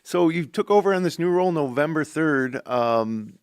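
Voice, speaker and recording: Gravelly-voiced middle-aged male talk show host. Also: a little high, normal speed, no echo, studio quality. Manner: relaxed, conversational with many fillers. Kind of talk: local talk show hosting with ad reads. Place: studio.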